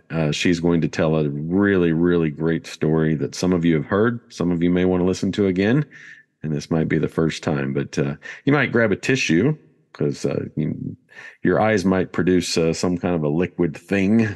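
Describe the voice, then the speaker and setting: A man's voice: sits low, darkish tone, big-room sound. A man co-hosting a podcast in a casual, folksy and encouraging chat. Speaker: podcast co-host.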